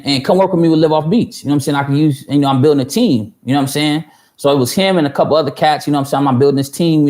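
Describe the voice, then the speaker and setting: A man's voice: medium pitch, a little mellow. Rambling, profane man in his thirties. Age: 30s. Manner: rambling, profane, full of fillers and restarts; answers viewer chat mid-story. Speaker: host of a livestream for music producers. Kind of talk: casual livestream storytelling.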